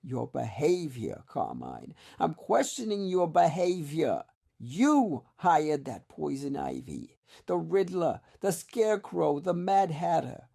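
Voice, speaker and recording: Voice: medium pitch, big pitch swings, takes it slowly, vocal fry, dark tone, heavily acted. A performer voicing a scornful crime matriarch who scolds her brother.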